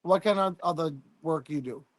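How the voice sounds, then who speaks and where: A man's voice: a little high, normal speed, dry recording. A man hosting a casual chat and asking questions, with many short, encouraging acknowledgements. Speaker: host.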